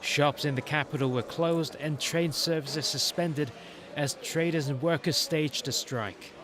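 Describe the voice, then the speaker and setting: A man's voice: a little high, a little slow, a bit bright. A man working as a TV news reporter, reading a scripted news narration.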